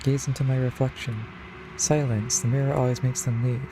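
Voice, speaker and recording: A man's voice: mid-range voice, little pitch movement, a little fast, background noise. A man streaming a video game, casually reading choices aloud in an understated, laconic way. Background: faint game music.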